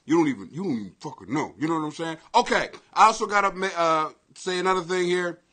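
A middle-aged American man hosting a comedy podcast in a brash, profane, excitable rant that often rises to shouting. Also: a little high, fast talker. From Greenwich, Connecticut, United States. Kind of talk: ranting comedy podcast monologue.

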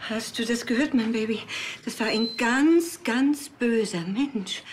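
An actress playing a melodramatic, demanding dog owner with a plaintive, cooing manner in a TV crime comedy. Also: female voice, fairly high pitch, measured pace, background noise, lightly acted. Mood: mournful, cooing.

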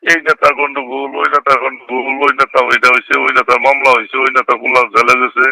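Middle-aged bangladeshi guy on a phone call, gravelly and really bright, repeats himself. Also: mid-range voice, level tone, fast talker, no echo. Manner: repetitive, often checks the listener understands. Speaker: local politician. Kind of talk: casual phone chat. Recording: leaked phone call recording.

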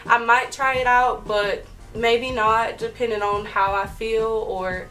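A heavily pregnant young woman co-hosting a casual couple's vlog from a bedroom, talking in a soft, chatty way. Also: fairly high pitch, little pitch movement, takes it slowly, recorded from a distance, soft background music, poor audio. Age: young adult. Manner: soft-spoken, chatty, with light laughs and fillers. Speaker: vlog co-host. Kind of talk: casual chat. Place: bedroom, indoors.

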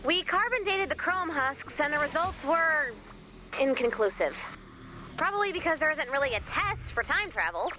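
An actress playing a brisk, wry, easily exasperated woman in command of a base in an animated video game, with dry sardonic delivery. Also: high-pitched, background noise. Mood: businesslike. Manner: dry, sardonic, hesitant when flustered.

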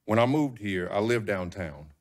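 A man's voice: on the low side, animated, normal speed. A middle-aged man giving an earnest public comment at a podium microphone in a large meeting hall, with frequent ums.

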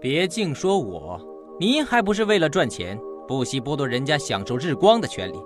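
A man's voice: fairly high pitch, big pitch swings, moderate pace, over music. An actor playing a sly, fawning henchman and shady informant, glib and wheedling, in an audio drama. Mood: sly retort. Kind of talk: Chinese audio drama acting.

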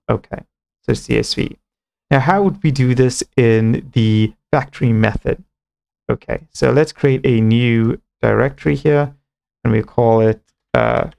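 Man in his thirties, gravelly fry, mid-pitched, informal tutorial presenter, thinking aloud, close headset mic. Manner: step-by-step explaining, often starting with okay and cool.

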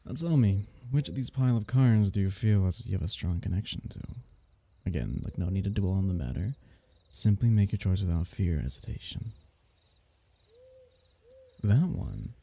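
A man playing an affectionate, teasing royal diviner in an intimate fantasy ASMR roleplay, speaking haltingly with courtly wording. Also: deep voice, lively intonation, heavily acted. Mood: gentle, reassuring. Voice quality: mellow, gravelly.

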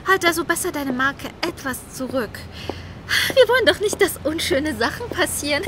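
A woman's voice: high-pitched, lively intonation, normal speed, noisy background. A woman playing a rich, flippant, gossipy journalist with a breezy, theatrical drawl in a German audio drama. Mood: playfully haughty.

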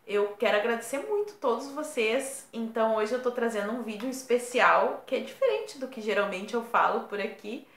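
A woman's voice: a little high, normal speed, few pauses, reverberant. Chatty woman with a southern Brazilian accent. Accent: Rio Grande do Sul Brazilian Portuguese. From born in São Paulo, raised in Rio Grande do Sul. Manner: chatty, playful, expressive. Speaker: YouTuber. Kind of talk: casual vlog to camera. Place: small room indoors. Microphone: camera at close range.

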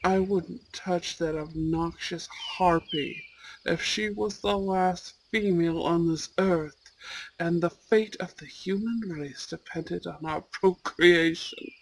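A woman acts out a possessive young school prince in an amateur ASMR roleplay. The delivery is slow, formal and imperious, with haughty disdain. Faint nature ambience runs behind the voice. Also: lowish voice, slight background noise, rough recording. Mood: scornful.

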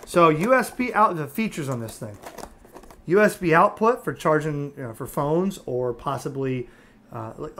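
A man giving a casual, unscripted product review, talking conversationally and haltingly as he thinks aloud. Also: a little high, a bit bright. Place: indoors at a table.

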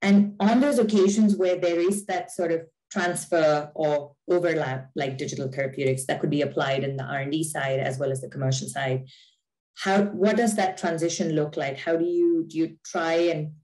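A woman's voice: deep voice, steady tone, moderate pace, a little mellow, rough recording. A woman in her thirties hosting a webinar interview over a video call, asking questions in a friendly way with fillers. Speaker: webinar host, general manager.

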